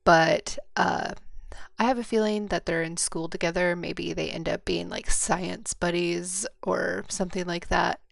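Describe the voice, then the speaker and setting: American woman with a creaky voice. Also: lowish voice, fairly even intonation, a little slow, no echo. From Midwestern United States. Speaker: podcast co-host. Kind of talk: casual book chat.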